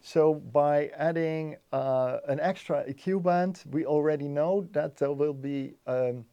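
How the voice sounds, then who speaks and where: A man giving a technical explanation as an interview guest, haltingly, often repeating words as he thinks. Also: on the high side, smooth, dull audio. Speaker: interview guest, loudspeaker designer.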